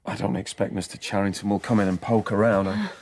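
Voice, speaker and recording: An actor playing an earnest, yearning, melancholy rebel in a radio drama. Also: male voice, lowish voice, little pitch movement, fast, a little mellow, a little hiss or hum, close to an everyday voice. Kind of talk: British radio drama acting.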